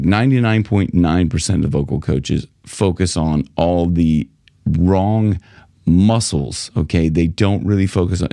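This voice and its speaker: A middle-aged man talks low and close into a studio mic, coaching singers in a quick, animated way with lots of hand gestures and fillers. The sound is dry and tight, with no room echo. He sits in a small home studio. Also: gravelly. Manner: animated, gestures with both hands, many fillers. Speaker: vocal coach. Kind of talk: direct-to-camera coaching talk. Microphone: large studio mic in front of him.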